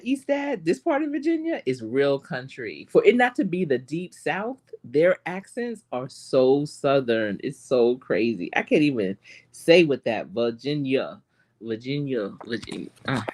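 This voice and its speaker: A woman's voice: very deep voice, normal speed. A middle-aged woman with a Charlotte accent, a life coach chatting casually to live stream viewers and telling stories with lots of laughter. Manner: animated storytelling with frequent laughs.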